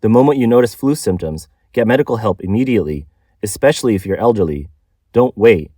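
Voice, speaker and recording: A man narrates a news report in a low-pitched voice. The delivery is scripted, and the sound is close and dry with almost no room on it. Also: lively intonation, brisk, warm tone, dry recording. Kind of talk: scripted news narration, reading translated accounts.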